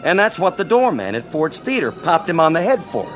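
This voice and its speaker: A man's voice: fairly high pitch, sing-song, a little fast, soft background music. An actor playing a dutiful, plain-spoken policeman in a radio drama, reporting with exasperation. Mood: exasperated.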